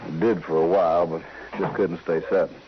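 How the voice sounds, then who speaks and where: Actor as low-voiced troubled former gunfighter. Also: male voice, little pitch movement, fairly quick, lightly acted. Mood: uneasy, confessing. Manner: folksy, plain-spoken cowboy drawl with rustic grammar. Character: troubled reformed gunfighter, rancher and father, proud, anxious. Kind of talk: old-time radio western acting. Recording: muffled, noisy old radio recording.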